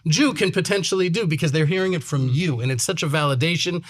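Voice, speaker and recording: Man, co-host, earnest and heartfelt, quotes Hebrew scripture, raised voice pitch. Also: fast. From born in Texas, USA, living in Israel. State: came straight from reserve duty. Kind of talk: casual panel talk.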